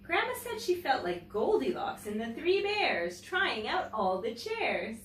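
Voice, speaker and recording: A woman reads a children's story with very lively, swooping intonation at a medium pitch. She sounds like a teacher, shaping phrases expressively and speaking directly to young listeners. The recording is roomy and a little distant from the mic. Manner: expressive, pausing to comment and ask young listeners questions. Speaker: teacher and story-time host. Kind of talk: read-aloud picture book storytelling for children. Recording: roomy, distant mic, faint background noise.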